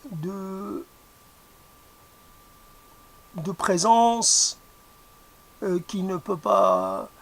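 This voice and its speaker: A non-native French speaker thinks aloud in a slow, gravelly voice with a lot of vocal fry. The delivery is halting, full of pauses, fillers and restarts while searching for words. The tone is reflective, like musing in an interview. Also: mid-range voice. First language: not French.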